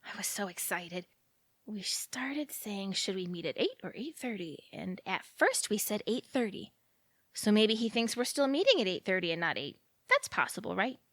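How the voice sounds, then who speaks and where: A woman's voice: mid-range voice, sing-song, normal speed, a bit bright, dry recording. A woman acting in audio theatre as an anxious, lovelorn young woman who rambles and questions herself fretfully. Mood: anxious reasoning.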